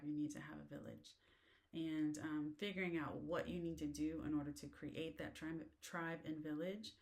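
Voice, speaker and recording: An American woman talking casually and rambling with lots of fillers, as she shares advice on living abroad. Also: deep voice. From United States. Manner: chatty, rambling, many fillers. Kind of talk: casual talk to camera. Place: in a car.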